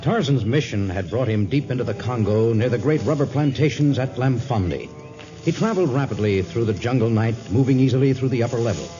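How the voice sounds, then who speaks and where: A man's voice: medium pitch, brisk. A man narrating a 1950s radio adventure in a dramatic, scripted storytelling style. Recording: dull vintage radio, loud music behind.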